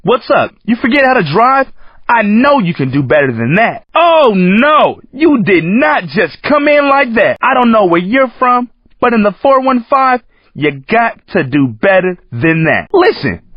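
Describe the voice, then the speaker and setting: A cocky American street-racing hype man from a video game, slangy and teasing. His voice is high and husky with lively swings. The sound is dry, muffled game audio. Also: no room sound, exaggerated voice. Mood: teasing, scolding. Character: cocky, hip male San Francisco street-racing coach, joking and hyping. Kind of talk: video game character commentary.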